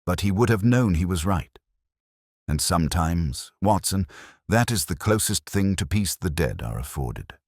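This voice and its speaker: A man voicing a brooding, coolly logical Victorian detective in an audiobook, speaking gravely and deliberately. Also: deep voice, lively intonation, no echo, clean studio sound, exaggerated voice. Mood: solemn, quiet.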